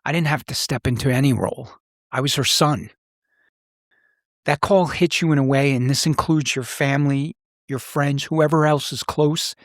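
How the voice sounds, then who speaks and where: A man's voice: mid-range voice, normal speed, dry recording, clean studio sound. A middle-aged man telling personal stories in a serious, plain-spoken, reflective way as a podcast host. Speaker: podcast host, former police officer. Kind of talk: reflective personal storytelling in conversation.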